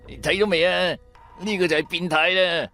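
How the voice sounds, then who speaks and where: A man's voice: sits high, fairly even intonation, moderate pace, sharp tone, faint music behind, pitched above the performer's own voice. A man voicing a mouthy young male sidekick in a radio drama, teasing and mock-innocent. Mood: mock-wounded. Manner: wheedling, teasing.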